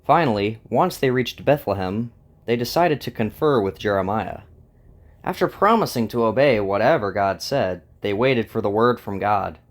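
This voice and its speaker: A man's voice: lowish voice, animated, normal speed. A man hosting and narrating a scripted dramatized story. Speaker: narrator and host.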